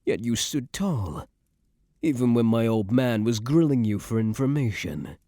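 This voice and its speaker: A man's voice: medium pitch, very animated, a little slow, warm tone, no room sound, clean studio sound. A man playing a doting young mafia heir in an intimate ASMR boyfriend roleplay, speaking with tough, streetwise affection and self-assurance. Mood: fond reminiscing.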